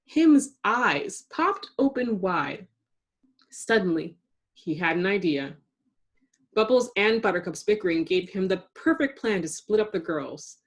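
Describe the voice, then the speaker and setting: A woman narrates a children's story in a mid-pitched voice. Her intonation is very animated, and she adds dramatic emphasis to build suspense. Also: measured pace. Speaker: storybook reader.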